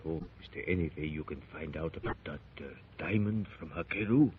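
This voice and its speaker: An actor playing a rough-mannered treasure hunter who speaks gruffly and hesitantly in a 1930s radio drama. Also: male voice, very deep voice, brisk, slight background noise. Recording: tinny old 1930s radio recording.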